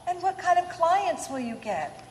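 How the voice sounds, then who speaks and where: A woman's voice: extremely high pitch, very animated, unhurried, full character voice. A woman acting a practical, skeptical sweetheart in an old-time radio play. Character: practical, skeptical woman, fond but exasperated. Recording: echoey hall, stage radio play.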